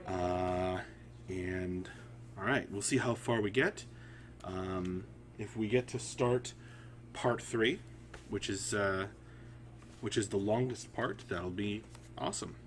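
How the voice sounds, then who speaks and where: Slow-paced, low-voiced man on a livestream. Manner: halting, pausing often. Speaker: writer, editor and stream host. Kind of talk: casual livestream chat and story reading. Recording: audible background hum and noise.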